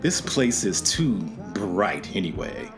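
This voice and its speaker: A man's voice: lowish voice, very animated, slow pace, over music. A man playing a brooding, theatrical goth boyfriend in a radio play, solemn and melodramatic.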